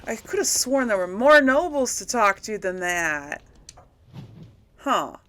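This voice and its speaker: Slow-paced woman casually narrating her gameplay. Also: mid-range voice, very animated, fairly bright tone. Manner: rambling, thinking aloud, chuckling. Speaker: let's play host. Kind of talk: casual unscripted game commentary, talking to viewers.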